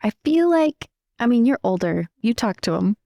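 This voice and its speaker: A woman's voice: a little high, sing-song, moderate pace, gravelly, dark tone, no room sound, clean studio sound. A woman chatting casually as a player in a tabletop roleplaying game.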